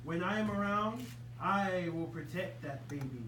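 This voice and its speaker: A man's voice: sits high, lively intonation, a little slow. A man voicing a boastful, fierce lion king in a puppet show, with a pompous, snarling manner. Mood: pompous, indignant. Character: boastful, violent lion king demanding to be called Majesty. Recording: noisy, roomy recording from far off.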